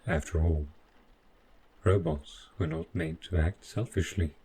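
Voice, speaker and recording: A man playing a devoted, overprotective robot butler in a scripted audio roleplay, speaking in formal, measured, literal phrases. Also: sits low, steady tone, mellow, slight background noise. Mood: formal, caring.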